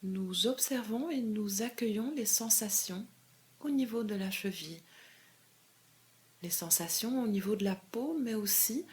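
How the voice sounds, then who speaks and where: A woman leading a guided meditation as a calm, measured guide, giving gentle step-by-step instructions with pauses. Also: medium pitch. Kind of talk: guided body scan meditation.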